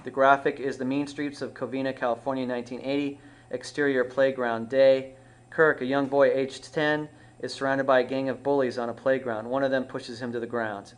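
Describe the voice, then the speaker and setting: A man's voice: medium pitch, level tone, fairly quick. Man narrating a screenplay table read. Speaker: writer reading stage directions. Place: small dim indoor room.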